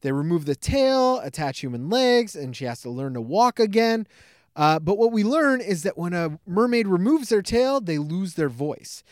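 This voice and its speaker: Animated, sweary podcast co-host. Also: medium pitch, moderate pace, dry recording, studio quality. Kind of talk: casual film review chat.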